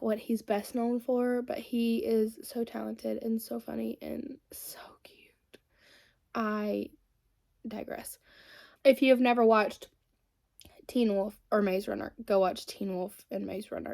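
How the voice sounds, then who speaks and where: A woman's voice: fairly high pitch, creaky, a little mellow. A woman, chatting casually and rambling while she does her makeup. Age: 20s. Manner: chatty and rambling, many fillers. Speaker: beauty vlogger.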